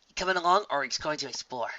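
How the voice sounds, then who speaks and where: An easygoing unicorn musician mare from a storybook, read by a man, sociable and casually polite. The voice is very bright, with a dry, slightly dull sound. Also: a little high, racing, no room sound, voice pushed up. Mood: relaxed, friendly.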